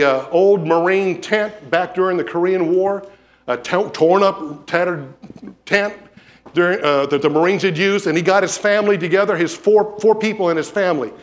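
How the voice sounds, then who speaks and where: A man preaching a sermon in a church, telling stories and acting out what people said. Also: high-pitched. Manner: animated storyteller, acts out quotes. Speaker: pastor. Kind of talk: sermon with storytelling. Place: church sanctuary.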